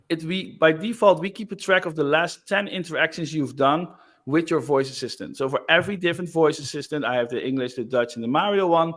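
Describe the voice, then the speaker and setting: A man giving a casual screen-share demo of software, loose and chatty with frequent fillers. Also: fairly high pitch. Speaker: software developer presenting.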